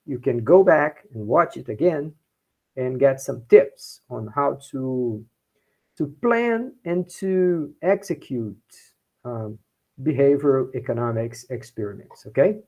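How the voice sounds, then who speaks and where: A Brazilian professor with a Brazilian Portuguese accent, teaching a class in English, often checking in with "okay?" and repeating points in Portuguese. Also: male voice, medium pitch, a little mellow, dull audio. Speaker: university professor. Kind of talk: bilingual class lecture.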